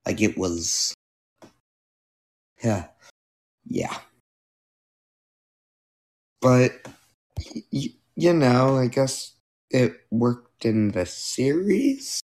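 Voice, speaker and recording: A man's voice: mid-range voice, big pitch swings, extremely slow, pauses often, a little mellow. A young man hosting a casual solo podcast, rambling with fillers and self-corrections while losing his voice. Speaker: podcast host. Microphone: new microphone being tested.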